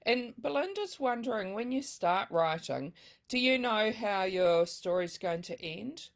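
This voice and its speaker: A woman's voice: mid-range voice, big pitch swings, unhurried. A woman hosting a book club interview over a video call, reading out audience questions and giving short, encouraging replies. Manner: soft, encouraging, many short affirmations.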